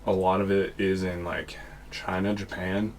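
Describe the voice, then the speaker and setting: A man's voice: low voice, flat delivery, unhurried. A young man hosting a podcast, giving rambling, casual explanations and often searching for words. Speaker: podcast host. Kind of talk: casual chat.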